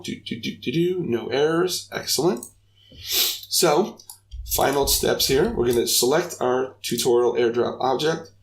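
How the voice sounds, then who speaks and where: Man, young adult, raspy gravelly voice, mid pitch, upbeat tutorial narration. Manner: upbeat, talks through each step, chatty asides. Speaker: game development tutorial host. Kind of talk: casual screen-recorded coding tutorial.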